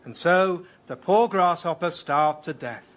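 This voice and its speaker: An actor playing a patient father gently telling a bedtime fable in a radio sketch. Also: on the high side, measured pace. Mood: patient, storytelling. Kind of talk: radio sketch, storybook reading.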